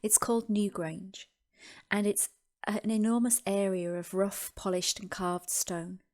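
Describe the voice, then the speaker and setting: A woman's voice: mid-range voice, steady tone, darkish tone. Woman leading a reverent guided meditation. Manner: slow, deliberate, reverent phrasing with pauses. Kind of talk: scripted guided meditation narration.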